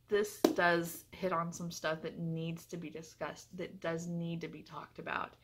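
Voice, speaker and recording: A woman's voice: on the low side, a bit bright. Earnest woman chatting about books. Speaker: book reviewer and vlogger. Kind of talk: casual book review chat to viewers.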